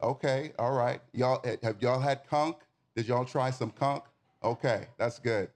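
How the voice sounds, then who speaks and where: A man's voice: medium pitch, steady tone, unhurried. A man in his forties from the Bahamas, giving a sermon as a guest preacher in an informal, folksy way, speaking directly to the congregation. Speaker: guest preacher and nonprofit community manager.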